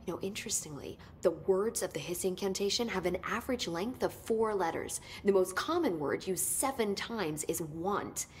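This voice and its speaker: An actress playing an eager, nerdy research scientist in a video game, chatty, pedantic and easily sidetracked. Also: female voice, medium pitch, lively intonation, normal speed, few pauses, slight background noise, lightly acted. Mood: keen, analytical. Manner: chatty, pedantic, rambling about details. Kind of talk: video game dialogue acting.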